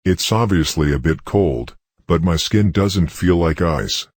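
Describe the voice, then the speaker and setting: A man's voice: sits low, expressive, measured pace, no room sound, clean studio sound. A man voicing a dead young American girl, chatty and eerie, in a horror story. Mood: matter-of-fact, bleak.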